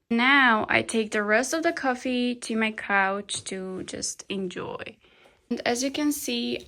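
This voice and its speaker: A woman's voice: mid-range voice, fairly bright tone. Chatty young Slovak woman vlogging at home. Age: 20s. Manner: chatty, upbeat, talking to camera. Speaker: vlogger and software engineer. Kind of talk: casual day-in-the-life vlog. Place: home living room. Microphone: phone camera at arm's length.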